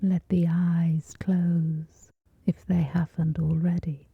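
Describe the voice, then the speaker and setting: A woman with a soft, whispery voice gently guides a scripted sleep meditation, soothing, with long pauses between short phrases. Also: lowish voice, little pitch movement, husky, no room sound, phone-quality sound.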